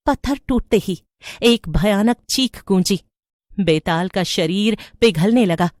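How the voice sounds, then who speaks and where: A woman's voice: on the low side, no echo, clean studio sound. A woman reading scripted narration for a horror story.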